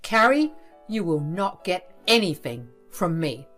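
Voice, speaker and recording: A woman's voice: low-pitched, animated, moderate pace, a bit bright, soft background music. A woman reading a stern, angry old father. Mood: firm. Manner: firm, scolding.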